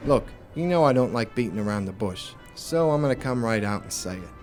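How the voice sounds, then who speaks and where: Man acting a blunt, deadpan noir detective. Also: medium pitch, brisk, soft background music, close to an everyday voice. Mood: grave. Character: hardboiled, blunt male private investigator in noir mystery. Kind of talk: noir radio drama with first-person narration.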